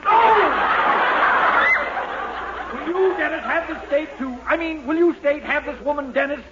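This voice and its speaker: An actor playing a hurried, blustering small-town mayor in a 1940s radio sitcom, pompous, folksy and easily flustered. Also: male voice, very high voice. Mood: exasperated, flustered. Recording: dull, slightly noisy 1940s radio recording.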